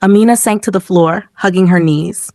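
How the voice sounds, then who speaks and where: A woman's voice: fairly low pitch, normal speed, mellow, no echo. Female storyteller for scripted dramatic folktale narration, dry intimate recording.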